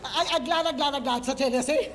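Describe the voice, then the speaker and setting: Brief: Jamaican woman in her seventies, high voice, animated and laughing, reverby clip. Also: fast talker, very noisy. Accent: Jamaican Patois. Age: 70s. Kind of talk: lively casual storytelling.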